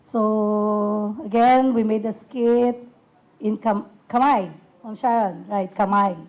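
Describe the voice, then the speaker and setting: A woman with a husky voice giving a hesitant, casual talk about her puppet ministry, with many fillers and restarts. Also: medium pitch, steady tone, slow pace, a little mellow, low-quality recording. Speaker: puppet ministry team member. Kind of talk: casual presentation.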